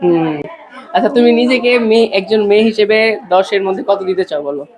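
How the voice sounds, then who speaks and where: A man's voice: high-pitched, quick pace, crowd noise. A man with a Bangladeshi accent interviewing casually as a podcast host, repeating answers back and dropping in English phrases. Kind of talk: casual interview, questioning a child.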